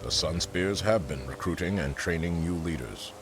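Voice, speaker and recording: An actor playing a grave, principled male general in a fantasy game, gravelly, formal and deliberate. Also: low voice, background noise. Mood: grave, respectful. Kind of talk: scripted fantasy game acting.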